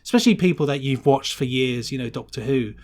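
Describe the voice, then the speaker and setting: A man talks as a guest in a relaxed interview. He is enthusiastic and chatty, wandering through his thoughts as he goes, with a mid-pitched voice. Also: fast. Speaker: podcast guest, sound designer. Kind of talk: casual interview chat.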